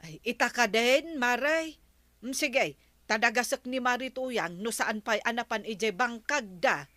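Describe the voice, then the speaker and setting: A woman playing a chatty, inquisitive neighbour in an Ilocano comedy drama, expressive and full of exclamations. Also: fairly high pitch, a little slow, no room sound. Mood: concerned, eager.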